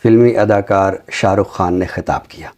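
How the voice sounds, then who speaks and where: A man speaking as an academic guest in a discussion, formal and deliberate, pausing between phrases. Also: low voice, darkish tone. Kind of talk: measured studio discussion.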